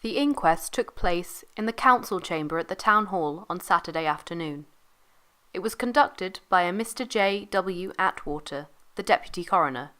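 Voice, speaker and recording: A woman's voice: lowish voice, a bit bright. A woman reading a formal, factual historical narration from a script.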